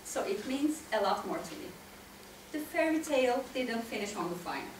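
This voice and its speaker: A woman in her thirties with a Turkish accent giving an expressive, prepared storytelling speech to listeners. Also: a little high, moderate pace, distant mic. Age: 30s. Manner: expressive, addresses listeners directly. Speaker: club speaker, tango dancer. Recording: echoey room from afar, faint noise.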